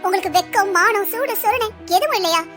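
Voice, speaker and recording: A very high, bright voice of a woman playing a defiant young cartoon bride. She speaks rapidly and confrontationally, full of resentment. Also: soft background music. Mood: resentful, angry. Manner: sharp, confrontational. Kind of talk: Tamil cartoon drama dialogue.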